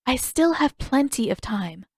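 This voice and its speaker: Gracious young fantasy princess, sweet, courteous and gently formal, voiced by a woman narrator. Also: high-pitched, sing-song, a little slow, slightly rough, dry recording, studio quality, voice pushed up, exaggerated voice. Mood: polite, reassuring.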